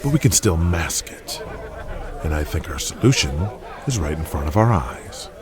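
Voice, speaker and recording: A man's voice: rumbling low, sing-song, normal speed. A man playing a cynical, blunt, foul-mouthed 1980s wrestling promoter in an audio drama, speaking with authority. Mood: scornful, calculating. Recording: crowd noise behind the voice. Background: arena crowd.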